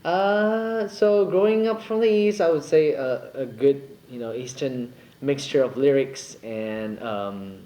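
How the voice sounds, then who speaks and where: A man's voice: mid-range voice, slow pace, hardly any pauses, warm tone. A young man from Singapore, a singer chatting casually in an interview, playful and jokey, rambling with many fillers. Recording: roomy echo, faint ambience.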